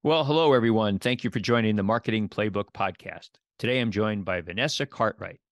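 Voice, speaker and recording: A man with a fairly deep voice hosts an interview podcast. He speaks in a measured, presenter's manner. The sound is very dry, with little room around him. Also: no room sound. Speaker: podcast host. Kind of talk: scripted podcast intro and interview.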